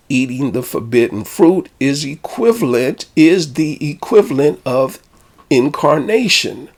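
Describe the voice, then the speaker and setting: A man, a spiritual teacher and author, reflecting on his own book in a measured, deliberate way with hesitant fillers. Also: on the high side.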